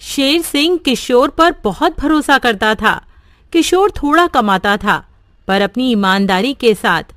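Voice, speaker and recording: A woman's voice: on the high side, a little slow, no echo. Woman narrating a cartoon story. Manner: steady, simple storybook telling.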